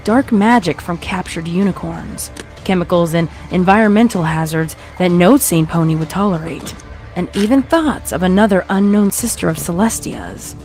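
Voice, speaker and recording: A man reading a scripted dark horror story as its narrator. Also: high-pitched, expressive, normal speed, faint music behind. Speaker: fan fiction narrator.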